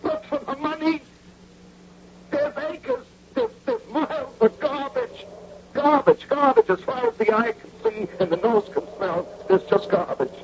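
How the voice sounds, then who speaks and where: A jumpy, guilty husband in an old radio drama. His creaky voice runs high for a man and swings wildly as he stammers and dodges. The recording is dull and a little noisy. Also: a little slow, heavily acted. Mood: frantic. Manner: stammering, evasive, excitable. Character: flustered, henpecked husband, nervous guilty bank robber. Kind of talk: 1980s radio comedy-drama acting.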